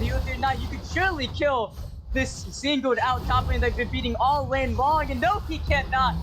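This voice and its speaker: A man calls a live esports match play-by-play in rapid, excitable bursts. His voice sits very high and is starting to go hoarse. There is clear noise over the stream audio. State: voice going hoarse. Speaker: play-by-play caster.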